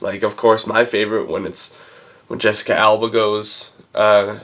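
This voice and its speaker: A man with a cold gives a casual, rambling film review, halting as he searches for words. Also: fairly low pitch, flat delivery, fairly bright tone. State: has a cold. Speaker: movie reviewer and channel host.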